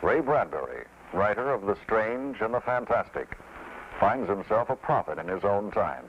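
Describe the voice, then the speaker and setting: A man's voice: on the low side, very animated, moderate pace, slight background noise. A man reading scripted documentary narration. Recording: dull vintage film soundtrack, music behind. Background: music.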